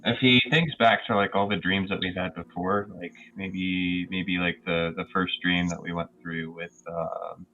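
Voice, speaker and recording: A man's voice: sits low, steady tone, unhurried, few pauses, pushed and bright, highs cut off. A man chatting casually as a roleplay player, describing what his character does and says. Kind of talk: casual in-game chat. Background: music.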